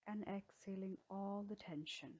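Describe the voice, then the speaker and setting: A woman yoga teacher leading a guided healing meditation in a slow, soothing way with gentle pauses. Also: medium pitch, fairly even intonation, breathy edge, highs cut off.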